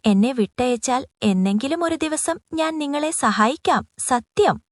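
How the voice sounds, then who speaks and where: A woman's voice: sits high, expressive, a little slow, no echo, clean studio sound. A woman voicing a tiny, mischievous young male mouse in a children's storybook tale, earnest and pleading. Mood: frightened pleading. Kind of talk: storybook character voice. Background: music.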